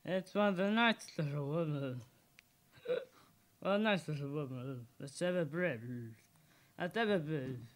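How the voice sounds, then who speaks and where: A young man playing a tipsy, flattering old dinner guest with a stuttering, folksy manner in an amateur radio play. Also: fairly high pitch, measured pace, long pauses, close to an everyday voice. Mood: jovial, flattering. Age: young adult.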